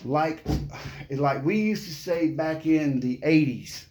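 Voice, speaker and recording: Middle-aged man who stutters, upbeat and playful, pitched a bit high, talking straight to camera. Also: slow, darkish tone. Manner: upbeat, playful, keeps adding "okay?". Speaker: vlogger. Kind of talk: casual motivational talk to camera.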